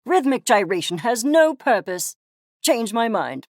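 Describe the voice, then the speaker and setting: Snooty obsessed little scientist critter from a video game, swoopy pitch, super wordy and impatient, bone dry sound. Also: sing-song, measured pace, dry recording. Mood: scornful. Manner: pompous, clinical, wordy, precise diction. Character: arrogant, obsessed mad-scientist furry creature, pompous and impatient. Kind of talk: theatrical game character acting.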